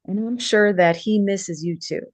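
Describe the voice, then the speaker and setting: A woman's voice: medium pitch, measured pace, no echo, lightly acted. A woman playing a kind, encouraging young woman in a drama table read. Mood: reassuring.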